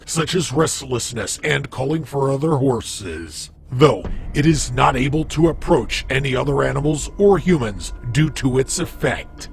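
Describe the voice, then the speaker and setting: A man with a slightly hoarse voice, reading a scripted wiki entry in a measured, deliberate way and slowing down for section headings. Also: on the high side, a little hiss or hum. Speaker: narrator.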